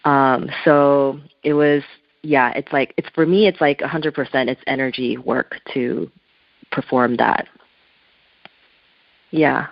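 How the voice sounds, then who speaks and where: A native Korean-speaking woman talks slowly and thoughtfully, feeling her way through each idea. Her voice is dry and close, with no room sound. It comes through a muffled telephone line. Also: very deep voice, fairly even intonation, gravelly, dry recording. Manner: searching, thoughtful, loose phrasing. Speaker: interview guest, spiritual artist. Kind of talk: reflective telephone interview talk.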